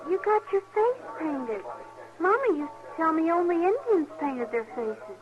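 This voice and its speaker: A woman's voice: very high voice, very animated, measured pace, full character voice. An actress playing an innocent, earnest little frontier girl with a rural American accent in an old radio Western. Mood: candid, shy. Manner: earnest, artless. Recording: dull vintage radio recording with music.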